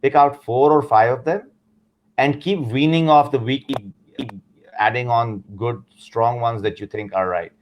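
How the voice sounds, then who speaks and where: A man's voice: mid-range voice, measured pace, slight background noise. A man with a Pakistani accent, a guest who gives blunt, emphatic advice in casual interview talk and mixes in Urdu phrases. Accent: Pakistani English.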